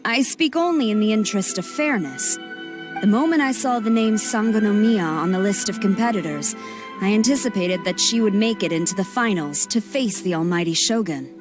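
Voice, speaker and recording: An actress playing a stern, formal female general in a game cutscene, speaking in a clipped, measured way. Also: medium pitch, lively intonation, music in the background, natural voice.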